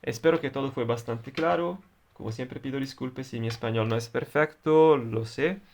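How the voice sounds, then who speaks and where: A man's voice: mid-range voice, a little mellow. Italian man tutoring in careful Spanish. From Italy. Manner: deliberate, halting, many fillers. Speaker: electronics tutor. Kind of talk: explaining circuits at a whiteboard.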